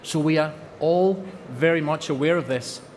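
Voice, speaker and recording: A man's voice: fairly high pitch, moderate pace, in a large hall, slight background noise. A Scottish man giving an earnest presentation at a trade fair, repeating words for emphasis. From Scotland. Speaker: company presenter. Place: large exhibition hall.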